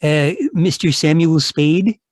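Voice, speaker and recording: A man's voice: on the high side, fairly even intonation, slow, dry recording, clean studio sound. A man playing a nervous, timid middle-aged fellow who stammers and hesitates, in 1940s radio drama style. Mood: timid, hesitant.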